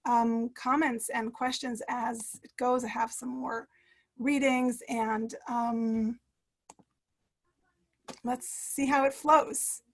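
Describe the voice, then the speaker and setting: A woman author talking to a book club over a video call, hesitant with many fillers, and reading a passage aloud. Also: sits high. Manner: halting, many fillers and restarts. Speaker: author guest.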